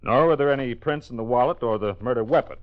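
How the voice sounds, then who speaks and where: A man's voice: medium pitch, quick pace, lightly acted. An actor playing a dry, factual male crime lab chemist speaking precisely and formally in a radio docudrama. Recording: dull old radio recording.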